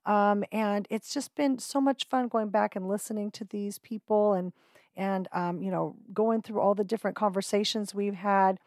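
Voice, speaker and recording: A woman's voice: mid-range voice, fairly even intonation, moderate pace, darkish tone, dry recording, clean studio sound. A woman hosting a podcast, introducing guest clips in an upbeat, friendly way with casual "um"s. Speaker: podcast host.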